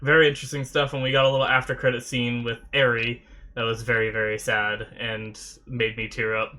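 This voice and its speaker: A man's voice: mid-range voice, level tone, fairly quick, bright, forceful tone. A man hosting an anime reaction video, chatting casually to viewers while still sniffly and recovering from a sore throat. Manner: rambling, loose, talks with many fillers.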